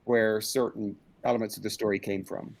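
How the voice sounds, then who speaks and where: A man's voice: fairly low pitch, flat delivery, moderate pace, creaky. Middle-aged man being interviewed. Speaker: interviewed director and audio drama creator. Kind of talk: conversational interview. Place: indoor room at home, on a group video call.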